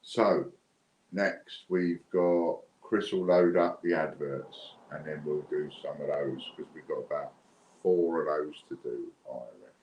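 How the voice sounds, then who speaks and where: A man's voice: very deep voice, steady tone, unhurried. A British man hosting a giveaway livestream in casual, unscripted, chatty and rambling talk. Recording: dull lo-fi, roomy echo, clear background noise.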